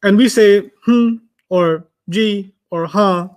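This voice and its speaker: Arabic teacher, man, native German speaker, relaxed storyteller, voice a bit high. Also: takes it slowly. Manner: chatty, storytelling, laughs often. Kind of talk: casual teaching chat with anecdotes.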